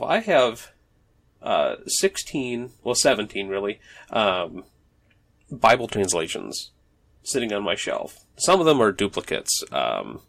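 Male podcast host, very low voice with gravel; rambling and chatty, full of fillers. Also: fairly bright tone. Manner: rambling, many fillers and restarts. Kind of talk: casual solo podcast monologue. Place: home office.

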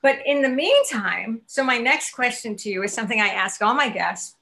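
A woman in her sixties hosts the conversation with a bright, forward voice pitched a little high. She speaks with upbeat encouragement and a motivational lift. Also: lively intonation, moderate pace, gravelly. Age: 60s. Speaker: podcast host. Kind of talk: interview chat.

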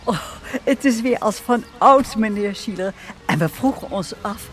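A woman's voice: medium pitch, measured pace, natural voice. An actress playing a polite, delighted lady guest of a hotel in a radio drama. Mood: polite, pleased. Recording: music under the voice.

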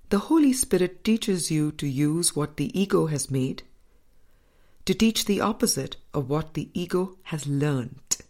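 A woman reading a spiritual book as scripted narration, in a measured, deliberate manner with frequent pauses. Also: low voice, a little mellow. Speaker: audiobook reader.

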